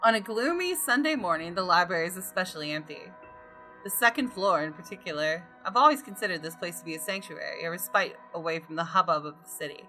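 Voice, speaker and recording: A woman reads first-person game narration aloud in a crisp, bright tone. Her pitch is slightly low for a woman, and her delivery is deliberate and dramatic. Soft music sits behind the voice. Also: lively intonation. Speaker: gaming video host. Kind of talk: reading visual novel narration aloud.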